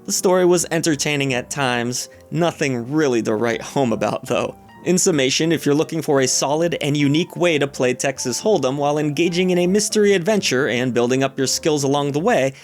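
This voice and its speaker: A man narrating a scripted video game review in a measured, lightly wry, opinionated way. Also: a little high.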